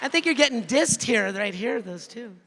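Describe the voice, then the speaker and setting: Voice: mid-range voice, lively intonation, moderate pace. A voice actor throwing quippy interjections into a live convention panel. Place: large convention panel hall. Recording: noisy live convention hall PA.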